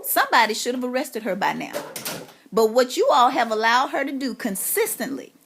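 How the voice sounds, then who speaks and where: A woman's voice: high voice, gravelly, fairly bright tone, a little hiss or hum. An aggrieved woman making a long complaint on a phone call, speaking insistently and emphatically. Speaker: caller, parent making a complaint.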